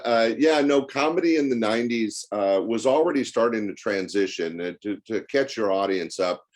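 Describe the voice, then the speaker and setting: An older man with a low-pitched voice talks as a guest in a conversational interview. He is talkative and anecdotal, explaining things like someone recalling a long career in the club business. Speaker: podcast guest, former comedy club owner.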